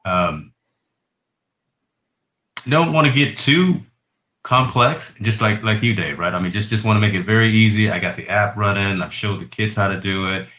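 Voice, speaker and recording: Deep-voiced man in an echoey room. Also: normal speed. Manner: relaxed, rambling, with restarts. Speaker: host. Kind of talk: casual tech chat on a call.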